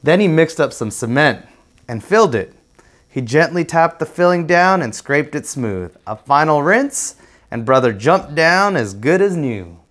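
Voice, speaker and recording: A man's voice: fairly high pitch, animated, a little slow, fairly bright tone. A man narrating a children's storybook aloud as a guest reader.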